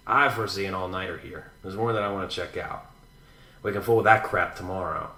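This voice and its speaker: An actor playing a cynical, brusque big-city detective in a radio drama, gruff and terse. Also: male voice, sits low, fairly even intonation, brisk, bright, forceful tone. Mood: brusque, guarded.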